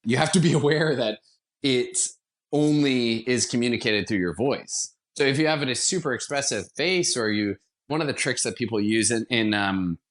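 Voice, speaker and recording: A man with an average-pitched voice explains his craft in a casual, conversational way. He comes across as an actor and guest who likes to tell stories and act out examples. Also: moderate pace. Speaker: guest, actor and theater producer.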